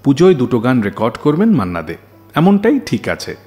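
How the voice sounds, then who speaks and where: Male radio host, lively intonation, scripted storytelling with dramatic delivery, soft music underneath. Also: mid-range voice, fairly quick.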